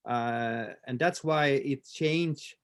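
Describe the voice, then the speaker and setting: A man in his fifties with a Swedish accent, talking in a casual interview with many pauses, fillers and restarts. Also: mid-range voice. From Sweden. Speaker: martial arts instructor, guest.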